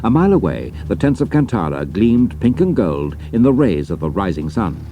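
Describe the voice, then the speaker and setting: A man's voice: on the low side, very animated, normal speed, dark tone, lo-fi sound, a little hiss or hum. A man with a British accent reading scripted adventure narration in a dramatic storytelling style.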